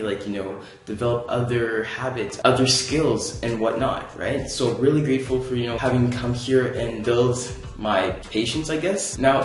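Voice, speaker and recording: A young man from Canada telling a casual vlog story, rambling and laid-back with many fillers. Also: mid-range voice. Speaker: vlogger. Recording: very echoey room.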